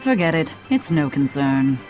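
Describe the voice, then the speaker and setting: A woman's voice: deep voice, big pitch swings, moderate pace, music in the background. An actress playing a sly, wry, mysterious woman in a video game, with a haughty, teasing drawl. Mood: dry, offended. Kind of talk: English game voice acting.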